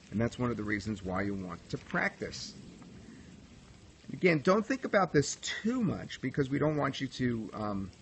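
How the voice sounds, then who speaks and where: A middle-aged man with a nasal voice and an American accent, giving relaxed, conversational broadcasting tips in a livestream tutorial. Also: mid-range voice, a little hiss or hum. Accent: General American, traces of New York. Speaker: broadcasting coach. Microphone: phone camera.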